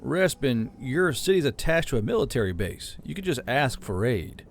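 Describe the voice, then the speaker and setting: A blunt military commander with a gravelly voice that rises and falls freely, as a man reads him aloud. He speaks plainly and to the point. This is storybook narration. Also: medium pitch, sing-song, moderate pace, a little hiss or hum.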